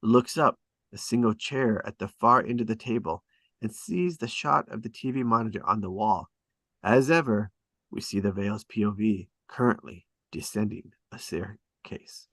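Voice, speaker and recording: A man's voice: on the low side, moderate pace, a bit bright, dry recording. A man reading stage directions as dramatic scripted narration at a table read.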